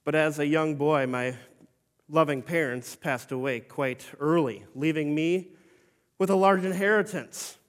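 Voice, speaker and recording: Old humble bishop, stern underneath, slow and formal, played by a man in a church monologue, echoing hall. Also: a little high, close to an everyday voice. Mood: solemn. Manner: slow, solemn storytelling with formal phrasing. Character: Saint Nicholas, elderly bishop, humble and stern. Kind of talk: first-person dramatic monologue.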